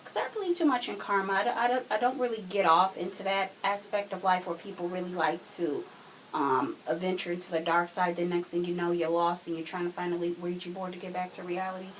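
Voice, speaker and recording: A woman's voice: on the low side, fast, low-quality recording. A woman giving her opinions casually as a podcast guest, on a hissy home recording.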